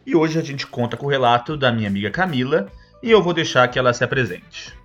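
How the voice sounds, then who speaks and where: A man's voice: medium pitch, expressive, fast talker, a bit bright, highs cut off, soft background music. A man with a Brazilian Portuguese accent hosting a podcast, explaining things to listeners in a casual, chatty way with frequent check-in tags. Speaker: podcast host.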